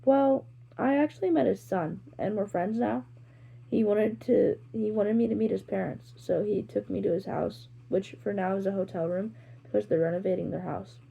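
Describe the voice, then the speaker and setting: Girl doing a sullen teen boy, creaky husky voice, kinda mellow, fanfic read. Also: mid-range voice, normal speed, lo-fi sound. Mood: matter-of-fact. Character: sullen teenage boy, quick to explain, offended. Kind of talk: amateur fan fiction reading.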